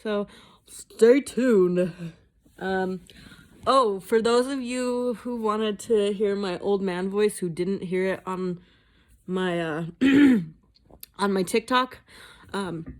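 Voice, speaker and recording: A woman's voice: mid-range voice, slow, pauses often. A woman vlogging at home in a casual, rambling chat, swearing freely and mocking herself. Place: indoors at home.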